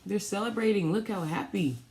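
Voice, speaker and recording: A woman reading a picture book aloud for children, pausing and adding little asides about the pictures. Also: mid-range voice, darkish tone, slight background noise, poor audio. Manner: pausing, pointing out pictures to young listeners. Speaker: storytime reader for a children's theatre.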